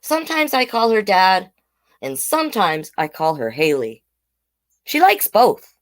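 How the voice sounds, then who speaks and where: A woman's voice: medium pitch, wildly swooping pitch, unhurried. A woman hosting a children's storytime, reading a picture book aloud with chatty, simply worded asides to young listeners. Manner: simple, child-directed explaining with tag questions. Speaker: children's storytime host and reader.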